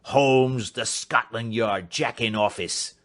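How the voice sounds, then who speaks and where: A man's voice: medium pitch, slow, close to an everyday voice. A man voicing a furious old doctor in an audiobook, a blustering, threatening bully with a snarling manner. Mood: scornful fury. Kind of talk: audiobook character acting.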